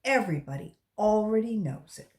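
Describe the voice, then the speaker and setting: A woman's voice: medium pitch, animated, normal speed, darkish tone, lightly acted. A woman voicing a helpful, clever schoolgirl in a children's storybook, matter-of-fact in manner.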